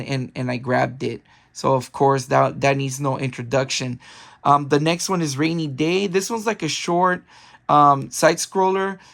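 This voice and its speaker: Rambling man, raspy from a cold. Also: a little high, fairly bright tone. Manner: rambling, frequent ums and self-corrections. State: getting over a cold, raspy. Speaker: video game collector vlogger. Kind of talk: casual haul video.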